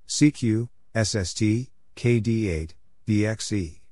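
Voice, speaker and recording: A man's voice: on the low side, takes it slowly, mellow, dry recording, studio quality. A man reading out radio code practice exchanges, spelling letters and numbers one at a time in short separate phrases. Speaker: Morse code practice narrator. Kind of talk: spoken readout for code practice.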